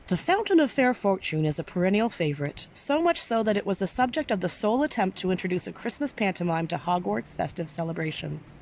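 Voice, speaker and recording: A woman reading a story aloud expressively, with careful, theatrical phrasing. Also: lowish voice. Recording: clearly noisy background.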